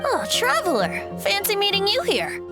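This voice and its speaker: A woman's voice: extremely high pitch, huge pitch swings, normal speed, exaggerated voice. A woman voicing a carefree young male bard in a video game, teasing and theatrical. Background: game music.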